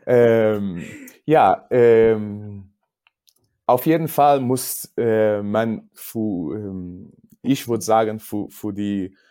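Slow, low-voiced Portuguese man, mellow tone. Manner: halting, searching for words in non-native German, repeats himself. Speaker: judo coach, interview guest. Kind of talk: casual podcast interview.

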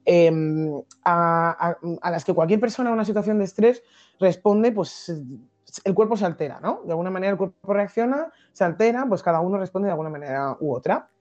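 Woman, Madrid accent, young adult, relaxed dark timbre, hesitant thoughtful delivery, dull lo-fi sound. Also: on the low side, a little fast. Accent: Madrid Spanish. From Madrid, Spain. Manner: thoughtful, hesitant, many fillers and restarts. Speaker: guest activist. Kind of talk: podcast interview.

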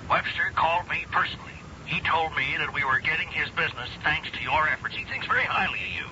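An actor playing a brisk businessman on a telephone call in a radio drama. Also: fairly high pitch, expressive, bright, forceful tone. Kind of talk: 1970s radio drama acting. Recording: muffled, very noisy old radio broadcast.

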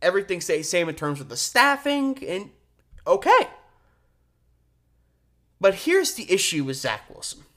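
A man hosting a sports radio show solo, giving opinionated, emphatic commentary with deliberate pauses and rhetorical questions. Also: high voice, huge pitch swings, fairly bright tone.

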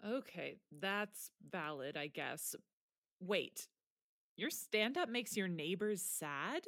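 Woman acting dry, lowish-voiced sardonic teenage girl. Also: slow pace, no echo, full character voice. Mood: dry, challenging. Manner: dry, deadpan, blunt. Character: sardonic, injured teenage girl, high school soccer player. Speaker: actor in audio drama. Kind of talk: naturalistic audio drama acting.

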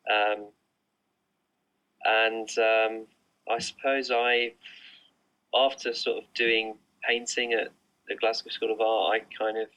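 Man, middle-aged, low pitch, monotone, crisp bright tone, hesitant, rambling, online interview guest. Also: slow pace, pauses often, poor audio. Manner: hesitant, rambling, many fillers and restarts. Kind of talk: casual interview answers. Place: remote online recording.

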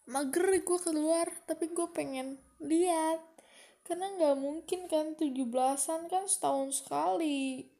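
High-voiced young woman rambling, Jakarta slang. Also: a little slow, dark tone. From Bogor, Indonesia. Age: young adult. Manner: rambling, chatty, talks to herself. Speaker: podcast host. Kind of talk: casual solo podcast chat.

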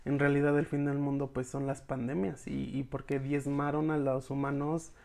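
A speaker with a Mexican accent giving an informal, expressive recap to an audience of friends, slangy and casually acting out bits of dialogue. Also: mid-range voice, moderate pace, creaky. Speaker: podcast reviewer recapping episodes for followers.

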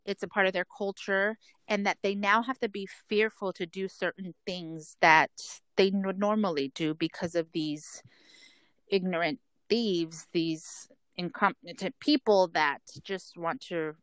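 A woman's voice: on the low side, fairly even intonation, measured pace, fairly bright tone, no echo. A woman in a casual group conversation, speaking with emphatic indignation.

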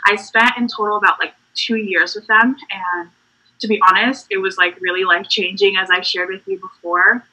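Young woman from puerto rico, bright breathy voice, chatty and laughing a lot. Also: mid-range voice, steady tone, moderate pace, low-quality recording. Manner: warm, laughing, filler-heavy. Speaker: podcast guest. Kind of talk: casual faith chat.

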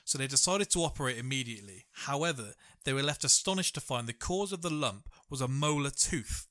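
A man's voice: mid-range voice, animated, fairly quick, bright, forceful tone. A man hosting a podcast, reading out odd news stories and telling jokey tales in casual chat. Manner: chatty, jokey storyteller. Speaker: podcast host.

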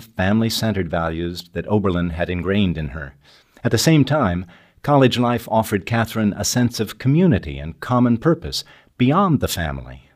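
A man narrating a scripted documentary as its narrator and interviewer. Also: lowish voice.

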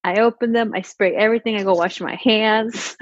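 A woman in her thirties chatting casually and telling stories in an animated way, quoting exchanges as she goes. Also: a little high, a little fast, dry recording. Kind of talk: casual chat. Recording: slightly muffled, lo-fi audio.

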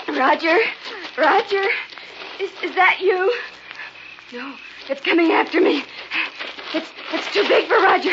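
An actress playing a distraught wife searching in the dark for her husband, breathless and melodramatic, in an old radio horror drama. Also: sits high. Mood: frantic, hysterical. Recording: muffled, noisy old radio broadcast.

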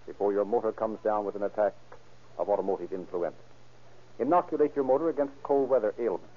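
Male radio narrator, formal declamatory announcing, husky voice, muffled vintage broadcast recording. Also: mid-range voice.

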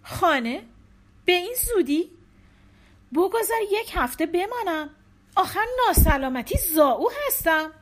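A woman's voice: very high-pitched, expressive, voice pushed up. A woman voicing a distraught young wife grieving her stillborn baby, pleading and tearful. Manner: pleading, tearful, rising to cries.

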